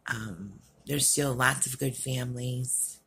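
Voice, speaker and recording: Middle-aged woman vlogger, deep raspy voice, worn out, talks earnestly off the cuff with lots of ums. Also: slow pace, a bit bright. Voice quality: raspy, gravelly low voice. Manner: rambling, earnest, frequent ums. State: tired, worn out. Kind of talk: unscripted rant to camera. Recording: faint outdoor work noise behind.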